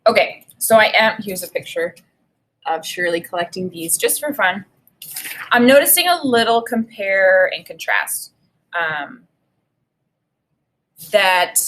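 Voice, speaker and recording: A woman teacher reading a children's book aloud and pausing to think aloud about it. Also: mid-range voice, slightly rough, rough recording. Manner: reads with expressive emphasis, pauses to think aloud. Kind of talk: read-aloud lesson with think-aloud.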